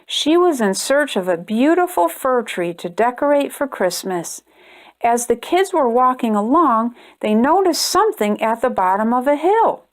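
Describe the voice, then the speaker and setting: A woman reading a children's storybook aloud with expressive, deliberate delivery. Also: a little high. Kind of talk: read-aloud storybook narration for young children.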